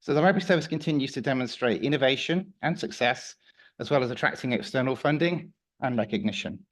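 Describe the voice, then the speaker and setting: A man with a slightly croaky voice giving a formal report to a council committee, measured and a little hesitant. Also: medium pitch. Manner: measured, with some fillers and restarts. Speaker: council officer presenting a report. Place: council meeting room.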